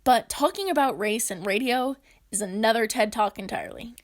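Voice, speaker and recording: A woman's voice: fairly high pitch, normal speed. A woman presenting a video essay in scripted, explanatory narration.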